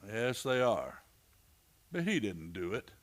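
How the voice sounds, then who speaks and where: A man's voice: fairly low pitch, sing-song, slow pace, a little hiss or hum. A man playing a weary, dogged Old West marshal in a radio play, terse and questioning. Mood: resigned. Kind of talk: western radio play acting.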